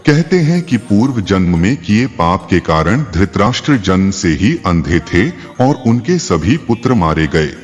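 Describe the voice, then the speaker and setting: A man's voice: sits low, big pitch swings, measured pace, hardly any pauses. A man narrating scripted mythological tales in a formal, dramatic storytelling style. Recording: long reverb, faint music behind. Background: faint background music.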